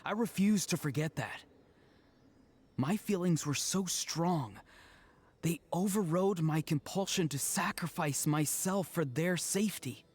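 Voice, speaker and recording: An actor playing a resolute, noble young warrior in a video game, heartfelt and earnest. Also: male voice, fairly high pitch, expressive, unhurried, slightly rough, no room sound.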